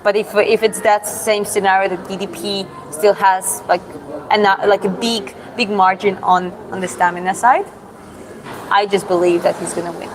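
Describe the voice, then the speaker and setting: A woman's voice: medium pitch, steady tone, moderate pace, a crowd in the background, low-quality recording. A young woman from Georgia with a Georgian accent, chatting on a livestream, answering comments with many fillers and restarts. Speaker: livestream host. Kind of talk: casual livestream chat reading comments.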